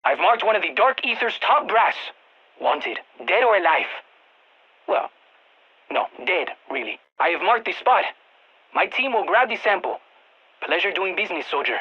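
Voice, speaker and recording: A woman voicing an eager, geeky field scientist in a video game, with a Latin American Spanish accent, talking over radio comms in an expressive, quirky way. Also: fairly low pitch, moderate pace, long pauses, husky, dull audio. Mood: businesslike, wry. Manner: expressive, quirky, nerdy enthusiasm. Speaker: mission guide scientist.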